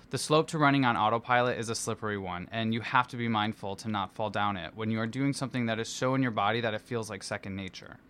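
A man with a smooth, clear voice at a medium pitch reads his own essay aloud. His delivery is theatrical and playful, with a self-deprecating streak, like a performer telling his own story. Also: fairly bright tone. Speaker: musical theatre performer and dance captain. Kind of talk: personal essay read aloud.

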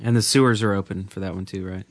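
Man w fairly low voice, bit halting, casual game podcast, dry audio. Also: fairly even intonation, no room sound. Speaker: podcast co-host. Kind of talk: casual gaming podcast chat.

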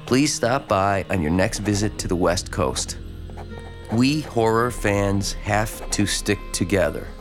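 A lonely, abandoned small-town man in an audio drama, obsessed with horror fiction. His low voice is gravelly and very slow. He speaks earnestly and haltingly, with an awkward familiarity. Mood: hopeful, awkward. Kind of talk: dramatized letter read as monologue.

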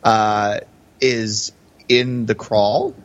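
A man's voice: fairly low pitch, little pitch movement, drawn-out words. A man co-hosting a podcast, giving casual analysis of a film. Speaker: podcast co-host. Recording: dull, lo-fi sound.

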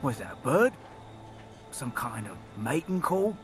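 An actor playing a mouthy, war-weary young soldier in an audio drama, cheeky and excitable. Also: male voice, mid-range voice, unhurried, over music. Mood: weary, wary.

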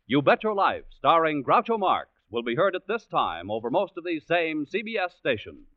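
Male American 1940s radio announcer, formal and dramatic, big pitch swings, tinny old recording with music. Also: sing-song, moderate pace.

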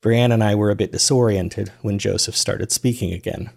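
A man narrating a first-person audio drama as a weary, reflective man, measured and introspective in his storytelling. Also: on the low side, steady tone, gravelly, a little mellow. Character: weary man recounting strange events, reflective and uneasy. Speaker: narrator and host of a fiction podcast.

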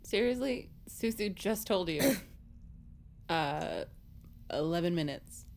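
A woman's voice: on the low side, animated, takes it slowly, vocal fry, darkish tone, full character voice. Blunt, sardonic teenage girl. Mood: annoyed. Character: blunt, exasperated high school girl with a conscience. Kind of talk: audio drama acting.

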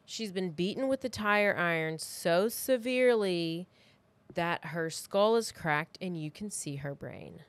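A woman's voice: on the low side, animated, a little slow, no echo. Casual woman storyteller, close dry sound. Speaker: podcast co-host telling the story. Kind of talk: casual true crime storytelling.

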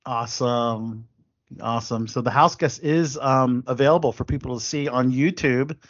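An upbeat man hosts a relaxed panel chat, filling pauses with "uh" and laughing easily. His voice sits in a middle pitch. The sound is dry and a little dull. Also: no room sound. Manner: upbeat, laughs often, many fillers. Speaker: podcast host.

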